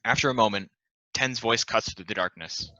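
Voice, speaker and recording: A man narrates a play's stage directions at a table read. His voice is fairly low and very bright and forceful. The recording is dry and somewhat lo-fi. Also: moderate pace, dry recording. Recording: dull, lo-fi close dry recording.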